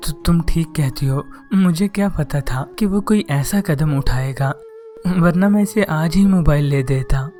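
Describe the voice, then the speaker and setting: Cartoon father, caring and steady, voiced by a man, warm and dark-toned. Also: fairly high pitch, unhurried, faint music behind, natural voice. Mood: concerned. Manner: reassuring.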